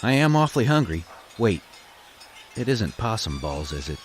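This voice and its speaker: A courteous, awkward young man, played by an actor, fairly low in pitch. His hesitant lines swing up and down in a hapless, comic audio-drama manner. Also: big pitch swings, moderate pace, a little hiss or hum. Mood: awkward politeness. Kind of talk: comedic audio drama acting.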